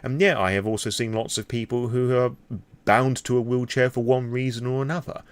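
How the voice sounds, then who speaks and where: A man's voice: medium pitch, steady tone, fairly quick, clean tone, dry recording. A British man talking off the cuff to his viewers in a personal, opinionated vlog, blunt and emphatic in manner. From Britain. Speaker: vlogger on roleplaying games.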